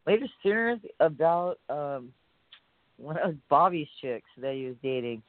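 A man's voice: fairly high pitch, lively intonation, moderate pace, no echo. Chill musician guy from hawaii rambling w lots of likes and ums, tinny phone-ish audio. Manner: laid-back, rambling, lots of fillers and swearing. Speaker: musician guest. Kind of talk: casual interview chat.

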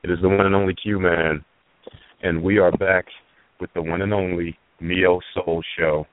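A man's voice: deep voice, flat delivery, normal speed, dry recording. A man hosting a call-in internet radio show, chatting casually and joking playfully with his co-host. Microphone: phone line. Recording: phone-line call-in radio, dry.

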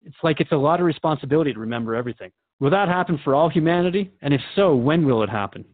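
A man's voice: a little high, animated, rapid-fire, no room sound, phone-quality sound. A man playing an earnest, puzzled radio host who asks reflective questions in a scripted drama interview. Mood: curious.

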